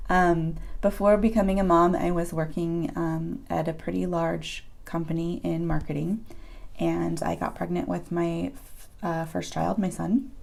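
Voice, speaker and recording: A woman in her thirties with a hoarse voice, chatting casually to her viewers as she answers their questions, with frequent ums. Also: lowish voice, darkish tone. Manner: chatty, many ums, open about personal experiences. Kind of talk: casual chat to camera.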